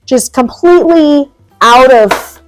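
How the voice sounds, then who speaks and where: A woman's voice: high voice, expressive, slow, soft background music. A young woman hosting a home DIY vlog, talking casually and rambling with lots of fillers, with a dry, wheezy cough. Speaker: DIY vlogger and host. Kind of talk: casual chat and talk-through of a DIY task. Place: home indoors.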